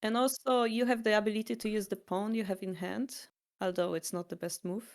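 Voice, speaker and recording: A woman's voice: medium pitch, steady tone, brisk. A woman with a Polish accent teaching a board game, patient and explanatory, with slightly non-native phrasing. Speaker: shogi teacher.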